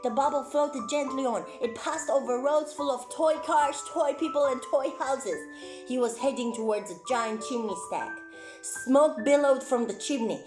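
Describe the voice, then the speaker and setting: High-pitched woman storyteller in an echoey room. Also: moderate pace. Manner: expressive, exclaiming, chatty with young listeners. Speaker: children's storyteller presenting as a clown. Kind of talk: animated read-aloud storytelling for children, with chatty asides. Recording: roomy echo, music faintly behind.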